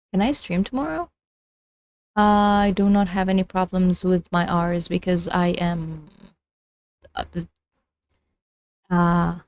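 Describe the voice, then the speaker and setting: A woman's voice: lowish voice, no echo. A young woman whose first language is not English, chatting casually and rambling on a live stream from her phone, with lots of restarts and giggles. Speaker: livestreamer.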